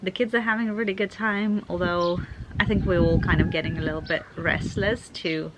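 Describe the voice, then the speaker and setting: A woman's voice: fairly low pitch, steady tone, a little fast, very noisy. A young woman from Ukraine chatting casually to camera in a vlog, thinking aloud with fillers and restarts. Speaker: vlogger.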